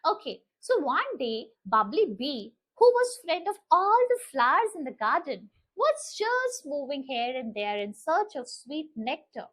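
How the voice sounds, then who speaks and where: A woman storyteller speaks to small children in a high, very animated voice. She takes her time, teaching as she goes and drawing listeners in with questions. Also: unhurried, few pauses, low-quality recording. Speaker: children's storyteller and channel host.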